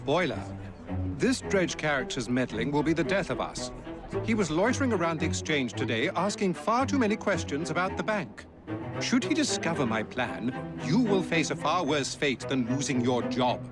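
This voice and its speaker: Menacing, animated schemer. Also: male voice, a little high, moderate pace, over music. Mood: threatening. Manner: formal, threatening. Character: menacing male secret banker dictating a threatening letter.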